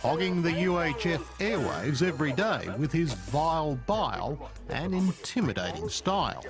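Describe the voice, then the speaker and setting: A man's voice: on the high side, very animated, moderate pace. An Australian man reading scripted current-affairs narration as a TV news reporter, with a punchy, sensational delivery. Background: music.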